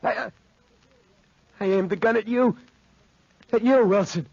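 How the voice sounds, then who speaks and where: An actor playing a haunted, guilt-ridden young man, halting and anguished, with a raspy voice, in 1940s radio drama. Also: high voice, a little mellow, no room sound, exaggerated voice. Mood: frantic. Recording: dull 1940s radio recording.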